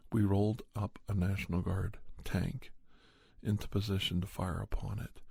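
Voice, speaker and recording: A man narrating a first-person horror story in ASMR style, with a measured, halting delivery in short phrases. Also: low-pitched, creaky, a little mellow, slightly rough, dry recording. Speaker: podcast host and storyteller.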